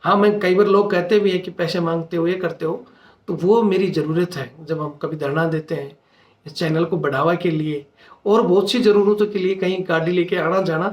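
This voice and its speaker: A man's voice: sits high, fairly even intonation, moderate pace. A man, a channel host making an earnest, insistent informal appeal to his followers. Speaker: retired soldier speaking as channel host. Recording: echoey room sound.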